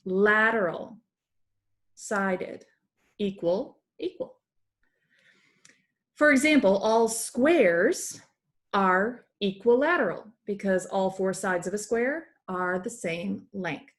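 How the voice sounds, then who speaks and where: A woman's voice: medium pitch, animated, slow, pauses often. A young adult woman teacher reading a math lesson aloud to children, recorded at a computer indoors.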